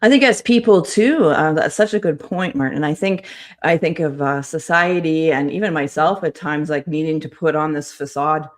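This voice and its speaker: A podcast host asking interview questions and affirming the guest with soft backchannel sounds. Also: mid-range voice, moderate pace.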